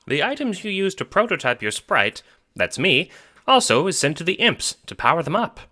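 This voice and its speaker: A man's voice: a little high, sing-song, normal speed, pushed and bright, voice pushed up, full character voice. A man voicing a kindly old grandmother spirit with a formal, old-fashioned way of talking in an audio drama. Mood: explaining. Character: kindly, grave old grandmother sprite in a jester hat.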